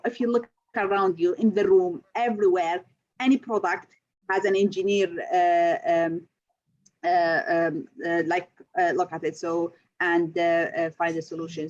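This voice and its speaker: A woman's voice: lowish voice, a little mellow. A woman from Syria, a chemical engineering lecturer, answering interview questions with many hesitant fillers. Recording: slightly dull recording.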